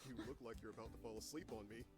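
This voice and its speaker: A man's voice: fairly low pitch, steady tone, very fast, breathy edge. An actor playing a casual, teasing male friend in a visual novel, with a breezy manner. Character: casual teasing male friend, busy film-shoot type. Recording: game music behind the voice.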